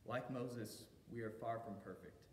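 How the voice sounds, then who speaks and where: Echoey hall with faint rumble, male preacher, sermon delivery, measured storytelling, deliberate dramatic pauses and emphasis. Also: a little mellow. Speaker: pastor.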